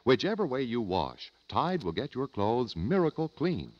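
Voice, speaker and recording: A man's voice: medium pitch, sing-song, normal speed. A man announcing a 1940s radio commercial with polished, emphatic salesmanship. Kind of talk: 1940s radio advertising pitch. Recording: muffled vintage radio recording.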